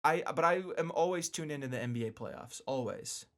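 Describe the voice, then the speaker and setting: A man with a somewhat high-pitched voice talks solo as a sports podcast host. He rambles in an informal way, circling back and repeating phrases for emphasis. His delivery is that of an animated fan, with joking asides. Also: a little fast. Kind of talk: casual solo sports commentary.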